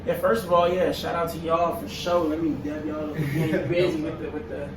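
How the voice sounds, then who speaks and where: A young man from Israel chats in an easygoing way about promoting shows. His voice sits a little high. He sounds distant in an echoey room with noise behind. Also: little pitch movement, brisk. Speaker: show promoter. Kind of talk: casual chat. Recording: roomy, distant mic, background noise.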